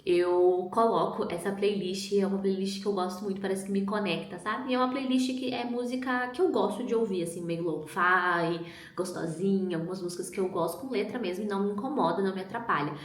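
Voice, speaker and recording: A woman vlogger in a relaxed, reflective casual chat, musing aloud and often adding little tag questions. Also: mid-range voice, a little fast. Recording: echoey room.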